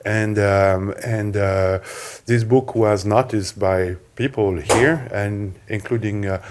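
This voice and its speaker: A man from France with a French accent opens a press conference as author and moderator, speaking haltingly with many hesitations. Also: lowish voice, steady tone, background noise.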